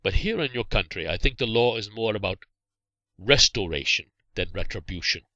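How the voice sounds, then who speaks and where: Man playing a stern, forceful Saracen warrior. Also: on the low side, lively intonation, dry recording, muffled recording. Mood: grave, kindly. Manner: measured, formal, commanding.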